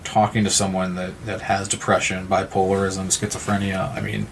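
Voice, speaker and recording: Low, flat-toned man thinking aloud. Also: slight background noise. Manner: halting, repeats words while thinking aloud. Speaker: podcast participant. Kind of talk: reflective conversational discussion.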